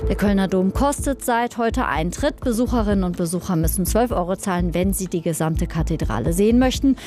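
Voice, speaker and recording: A woman reading a radio news bulletin and weather forecast in a measured, formal way. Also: medium pitch, warm tone.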